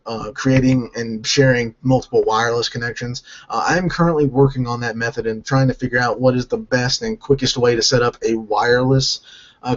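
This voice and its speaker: A hoarse man from North Carolina hosting a gaming channel, answering viewer questions in a halting way and often restarting his sentences. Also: mid-range voice, dull audio. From North Carolina, United States.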